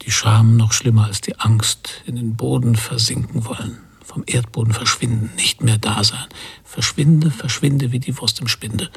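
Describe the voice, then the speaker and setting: Anxious brooding emigrant guy, radio play monologue, really breathy and creaky, halting, almost whispery. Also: medium pitch, steady tone. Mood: ashamed, anxious. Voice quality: husky, breathy, often near whisper. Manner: halting, introspective, short fragments. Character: anxious, brooding emigrant husband haunted by memories. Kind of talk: literary radio drama monologue.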